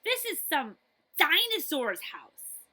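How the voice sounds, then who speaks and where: A woman's voice: sits high, wildly swooping pitch, slow, sharp tone, voice pushed up, full character voice. A woman voicing a headstrong, greedy little storybook girl with an exclamatory, exaggerated delivery. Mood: indignant.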